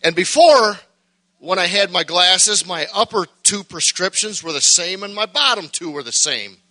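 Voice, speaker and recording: A middle-aged man preaching a sermon, folksy and joking at first, then building to shouted fervor. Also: high voice, expressive, normal speed, poor audio.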